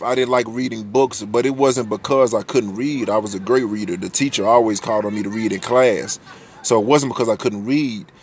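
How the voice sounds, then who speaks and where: A young man in his twenties from the United States with a deep voice, talking candidly and loosely in a personal podcast monologue, swearing freely and restarting his sentences. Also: slightly rough. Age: 20s. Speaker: podcast host.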